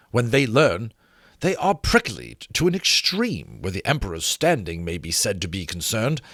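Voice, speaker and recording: A man voicing a learned, apologetic, fretful gentleman in a formal, emphatic storybook reading. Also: mid-range voice, no room sound, clean studio sound, pitched above the performer's own voice. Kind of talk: dramatic audiobook reading.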